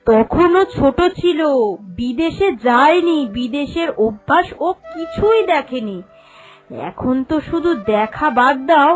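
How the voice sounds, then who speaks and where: A woman's voice: high-pitched, rough recording. A woman voicing a meddling, critical village neighbour in a cartoon, insistent and admonishing. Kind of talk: animated cartoon dialogue.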